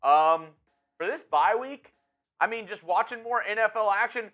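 Jokey man co-hosting, bright voice. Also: high voice, normal speed, lo-fi sound. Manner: jokey, easygoing. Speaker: co-host. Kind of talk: casual chat.

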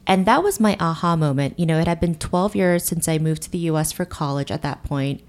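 A woman's voice: deep voice, a little fast, a little mellow. A woman in her thirties, a company founder, answering interview questions fluently and earnestly, with many "you know"s and "really"s. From United States and Korea. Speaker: guest, beauty company founder.

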